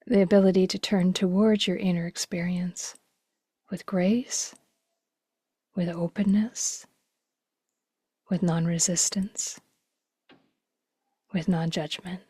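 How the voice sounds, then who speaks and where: Woman, low-pitched, mellow and relaxed, gentle breathy hush, slow guided meditation, long pauses. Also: no echo.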